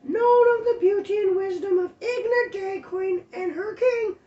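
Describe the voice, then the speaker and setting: Woman doing a squeaky pompous squirrel king. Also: measured pace, warm tone. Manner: grandiose, declaiming. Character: pompous male squirrel king giving a speech. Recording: echoey room, dull lo-fi sound.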